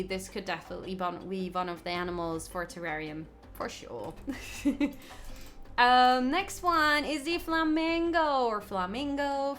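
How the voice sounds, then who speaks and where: A young woman from the Netherlands with a Dutch accent, chatting excitedly and giggling on a gaming live stream as she reads out viewers' comments. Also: fairly high pitch. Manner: excitable, giggly, reading chat aloud. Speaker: gaming livestreamer. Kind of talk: casual live stream chat.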